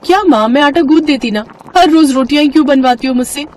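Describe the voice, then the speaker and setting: A woman voicing a spoiled, excitable young daughter in a storybook tale, with dramatic, pouting, slangy delivery. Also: high voice, quick pace, slight background noise, voice pushed up, natural voice. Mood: whiny, complaining. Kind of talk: animated Hindi moral story dialogue.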